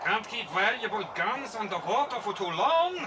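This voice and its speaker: A man's voice: sits high, very animated, normal speed, noisy background. A man playing a ruthless, smug gun smuggler in a 1980s audio drama. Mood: businesslike. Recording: distant voice on old tape, loud music.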